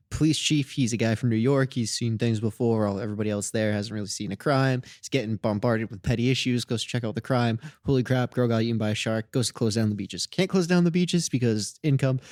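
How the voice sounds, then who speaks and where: A young man co-hosting a casual movie podcast, jokey and brisk when he sums up a plot. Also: mid-range voice, darkish tone, dry recording, studio quality. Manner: jokey, rapid-fire plot recap, puts on silly voices. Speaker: podcast co-host.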